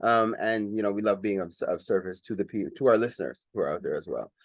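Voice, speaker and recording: A man's voice: fairly low pitch, quick pace, dry recording, clean studio sound. A man hosting a casual radio interview, talking loosely with many fillers and restarts. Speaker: radio show host.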